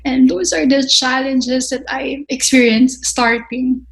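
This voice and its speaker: A woman's voice: high voice, fairly even intonation, slow pace, gravelly, poor audio. A young woman chef instructor, answering podcast interview questions thoughtfully with frequent restarts. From Philippines. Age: young adult. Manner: thoughtful, hesitant with many restarts.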